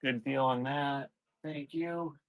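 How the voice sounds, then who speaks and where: A man hosting a casual live sales stream, rambling and thinking out loud in a scattered, conversational way. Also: medium pitch, fairly even intonation, slow pace, narrow, tinny audio. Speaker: live-stream seller hosting a sale and unboxing.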